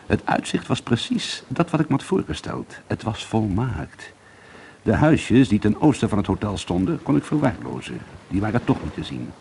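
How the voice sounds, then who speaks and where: Troubled, brooding man, low-pitched. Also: big pitch swings, moderate pace, gravelly, a little mellow. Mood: reflective narrating. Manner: hesitant, confiding, repeating himself, brooding. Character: troubled, bitter schoolteacher and painter, insistent and haunted. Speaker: lead actor and first-person narrator. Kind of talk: radio drama monologue and dialogue. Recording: noisy old radio play recording.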